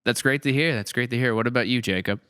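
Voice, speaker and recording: A man's voice: medium pitch, fast talker, pushed and bright, clear, resonant tone, no room sound. A man hosting a casual podcast interview, asking questions and responding in an encouraging, affirming way. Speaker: podcast host.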